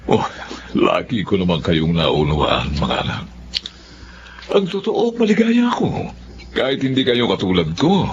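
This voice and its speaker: An actor with a Filipino accent playing a gentle, devout retired soldier father in a radio drama. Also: low-pitched, big pitch swings, a little slow, long pauses, slight background noise. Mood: warm, proud. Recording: dull lo-fi radio drama, music.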